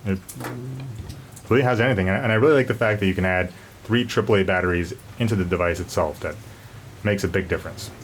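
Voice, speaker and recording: A man giving a casual, unscripted product review, rambling and repeating words as he goes. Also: fairly low pitch. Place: garage during a thunderstorm. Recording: noisy garage with storm ambience.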